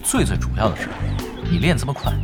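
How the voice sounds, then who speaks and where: Laid-back gamer over loud music. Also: male voice, mid-range voice, big pitch swings, quick pace. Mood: easygoing, persuading. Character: laid-back, easygoing young male expert online gamer. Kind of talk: Chinese audio drama acting.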